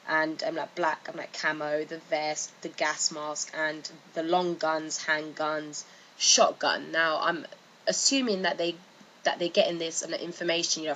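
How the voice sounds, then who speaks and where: A woman's voice: low voice, fairly bright tone, poor audio. A woman giving informal explanatory commentary in a casual, loosely phrased way, as a video narrator. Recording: faint background noise.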